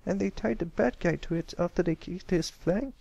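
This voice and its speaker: A man plays a bold young filly pony in a story. The voice is raised in pitch, curious and blunt, with a dry recorded sound. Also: normal speed, vocal fry, a little mellow, dry recording, highs cut off. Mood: wondering.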